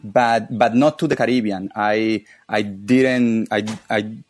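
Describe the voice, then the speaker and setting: Halting low-voiced man from northern Colombia. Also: fairly even intonation, a little mellow. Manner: halting, many repeated words and restarts. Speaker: historian, author and guest. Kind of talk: academic interview.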